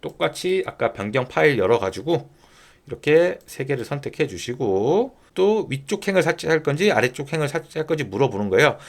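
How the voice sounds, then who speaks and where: A man narrating a software tutorial step by step in informal polite speech, often posing a question and then answering it himself. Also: on the high side, very animated, brisk. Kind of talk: screen-recording tutorial narration, explaining step by step.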